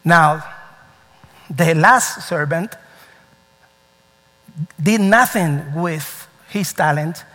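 A man preaching a sermon as pastor, animated and storytelling, with nonstandard English grammar. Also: on the high side, extremely slow, long pauses. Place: church sanctuary. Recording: reverberant church hall.

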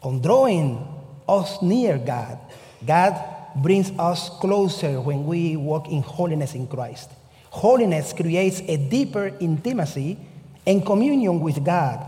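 A man from Cuba with a Cuban Spanish accent, a pastor delivering a sermon with deliberate, emphatic phrasing. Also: high voice, mellow, big-room sound. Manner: deliberate, emphatic preaching with slow drawn-out phrases.

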